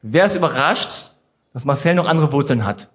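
A man's voice: medium pitch, animated. A man hosting a casual interview segment in front of a live audience, joking and polling the crowd as he reads out his prompts. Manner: playful, quick, polls the audience. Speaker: host.

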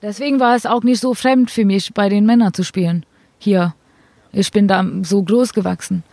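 Young indian-accented woman, halting german w lots of ums, dry close mic. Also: medium pitch, warm tone, no echo. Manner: hesitant, simple non-native German with fillers. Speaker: cricket player, guest. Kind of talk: casual interview answers.

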